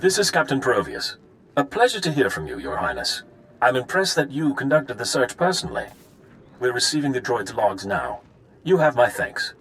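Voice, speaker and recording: A man's voice: medium pitch, sing-song, normal speed, fairly bright tone, a little hiss or hum, low-quality recording. A man playing a dutiful, polite military captain in a video game, deferential and plain-spoken. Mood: brisk, respectful. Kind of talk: scripted video game drama acting.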